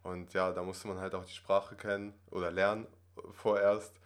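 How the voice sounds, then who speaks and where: A man's voice: low-pitched, little pitch movement, moderate pace. A man in his twenties who grew up in Russia, talking casually as a podcast guest, rambling with many fillers and tag questions. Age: 20s. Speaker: acting student guest.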